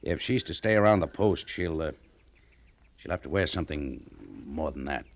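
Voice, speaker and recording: An American man playing a stern, dry army major in a 1950s radio western, speaking with measured authority and small pauses. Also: rumbling low. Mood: awkward. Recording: noisy old radio recording.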